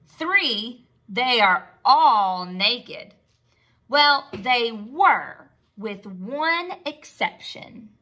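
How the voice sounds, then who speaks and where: A woman teacher giving an expressive read-aloud lesson for young children, using simple words and prompting with questions. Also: a little high, drawn-out words.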